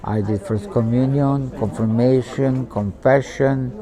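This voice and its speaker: A man in his seventies, born in Macedonia and raised in Zagreb, tells his personal story in halting, simple non-native English. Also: mid-range voice, fairly even intonation, a crowd in the background. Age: 70s. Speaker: interviewee. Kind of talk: personal testimony in conversation. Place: outdoors at a pilgrimage site.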